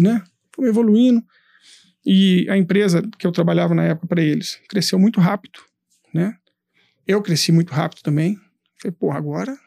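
A man telling his business story in a casual interview, animated, swearing and acting out his past thoughts. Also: sits high, gravelly, dry recording, clean studio sound. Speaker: entrepreneur guest.

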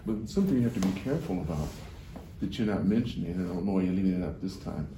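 A man's voice: low voice, animated, rapid-fire, mellow, echoey room, slight background noise, rough recording. A man hosting a podcast, asking questions in a teasing, easygoing way. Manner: teasing, laughs readily. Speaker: podcast host. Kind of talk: casual interview.

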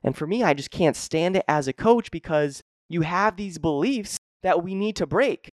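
A man's voice: sits high, animated, normal speed, dry recording, studio quality. A young man hosting his own podcast as a fitness coach, talking energetically and emphatically and repeating key phrases. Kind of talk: motivational solo podcast talk.

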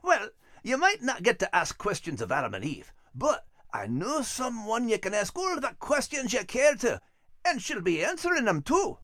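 Cartoon Scottish terrier: friendly, dim, folksy, very animated pitch, dry close sound. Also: male voice, normal speed, no room sound, heavily acted. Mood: cheerful. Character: friendly, none-too-bright male Scottish terrier dog.

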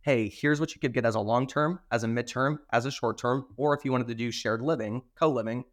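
A hoarse man, a podcast guest, confidently explaining how he runs his property management business. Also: brisk. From Arizona. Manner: confident, explanatory, steady pitch. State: hoarse from recent illness. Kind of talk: casual interview answers.

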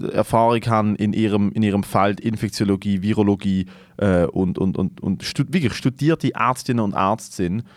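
A man's voice: mid-range voice, darkish tone, dry recording. Argumentative Swiss German man, dry close sound. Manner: argumentative, sweary, mixes in English slang. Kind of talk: casual opinionated debate chat.

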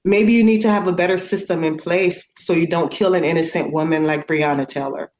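A woman's voice: fairly low pitch, steady tone, fairly quick, darkish tone, roomy sound, a little hiss or hum. A woman speaking in casual conversation, earnest and outspoken, with lots of fillers. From United States.

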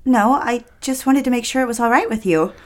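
A woman's voice: a little high, brisk, lightly acted. A woman playing a lovelorn, petulant young housewife and mother in a period audio drama, pleading and wistful with old-fashioned phrasing. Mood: contrite, wistful.

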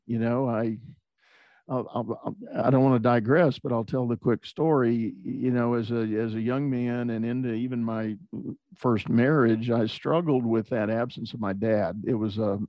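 A man's voice: medium pitch, no echo. A middle-aged man from Texas, a podcast guest, talking reflectively about his upbringing with many fillers and restarts. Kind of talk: conversational interview. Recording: dull lo-fi dry sound.

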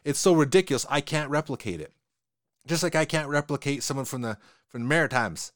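Fast-talking Western Canadian man. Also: fairly high pitch, no room sound, studio quality. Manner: fast-talking, repeats words, animated. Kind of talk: casual conversation.

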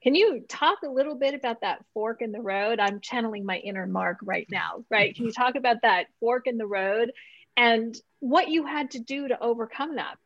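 A woman co-hosting a podcast, affirming the guest warmly and tagging questions with "right?". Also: a little high, moderate pace, no room sound, lo-fi sound. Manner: animated, affirming, adds "right?". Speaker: podcast co-host. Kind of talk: interview questions.

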